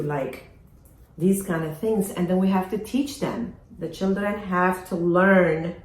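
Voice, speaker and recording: Middle-aged woman from Somalia hosting a kitchen vlog, slow and conversational, roomy sound. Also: lowish voice, darkish tone, slight background noise. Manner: chatty, asks viewers "right?". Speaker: home baker and farm vlogger. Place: home kitchen.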